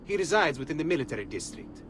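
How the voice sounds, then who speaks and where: An actor playing a suave, sociable Venetian fixer in a video game, with a smooth and knowing manner. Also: male voice, a little high, big pitch swings, fast talker, noisy background. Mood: businesslike. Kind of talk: video game cutscene acting.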